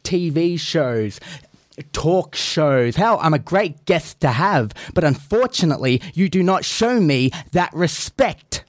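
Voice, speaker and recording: A man's voice: on the high side, animated, slow pace, dry recording, clean studio sound. A man on a podcast, boasting arrogantly as a wrestling persona. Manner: boastful, arrogant, self-aggrandizing. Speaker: wrestler guest in character.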